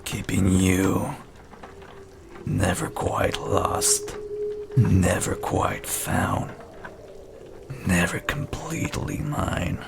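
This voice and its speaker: Casting: sinister possessive night entity, acted by a man, slow menacing whisper with heavy gravelly creak, for dark horror audio roleplay. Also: deep voice, lively intonation, over music, heavily acted. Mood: taunting, savoring. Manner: slow, menacing, intimately taunting.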